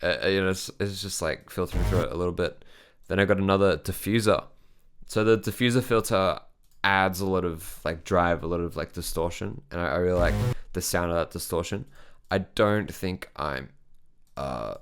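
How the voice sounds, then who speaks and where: A man's voice: sits low, moderate pace, smooth. A young man, a music producer, casually explaining his synth sound design, thinking aloud with lots of fillers. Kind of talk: casual software tutorial.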